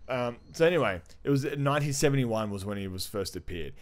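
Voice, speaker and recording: A man's voice: mid-range voice, lively intonation, quick pace. A man co-hosting a podcast, chatting casually and enthusiastically about pop culture with plenty of slang and swearing. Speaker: podcast co-host.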